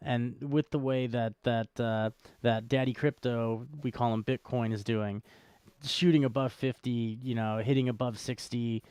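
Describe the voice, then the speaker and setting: A man's voice: mid-range voice, fairly even intonation, unhurried, a little mellow, clean tone, no echo. A man co-hosting a show with casual podcast banter and commentary, wry and joking in manner. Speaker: co-host of a cryptocurrency show.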